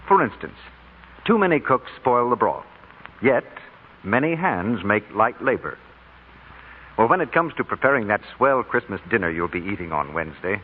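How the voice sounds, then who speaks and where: A man announcing a 1940s radio commercial with a polished sales delivery. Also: fairly low pitch. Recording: tinny, hissy old radio broadcast.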